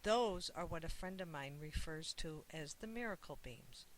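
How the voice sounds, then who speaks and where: A reader voicing a gentle, reassuring mother of a young boy in a children's storybook. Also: mid-range voice, animated, normal speed, a little hiss or hum, natural voice, poor audio.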